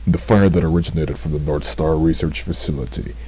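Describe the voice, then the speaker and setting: A man's voice: extremely low pitch, steady tone, a little fast, warm tone, husky. A man playing a grave official who addresses the city's residents over a broadcast, speaking in a stern, commanding way.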